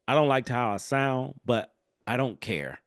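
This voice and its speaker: A man hosting a solo podcast in a casual, animated way, his voice congested and nasal from a cold. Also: medium pitch, unhurried, dry recording, clean studio sound. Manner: casual, animated, chatty with asides. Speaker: podcast host.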